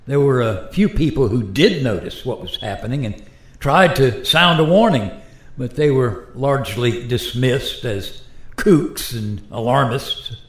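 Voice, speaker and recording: In a roomy echo, a man plays a rueful modern everyman in a radio play. He explains himself earnestly and regretfully, his pitch moving freely. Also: animated, unhurried, close to an everyday voice.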